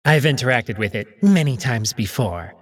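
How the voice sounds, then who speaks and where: A man's voice: mid-range voice, expressive, normal speed, natural voice. A man voicing a small, haughty male wolf emperor with a dismissive manner in a visual novel reading.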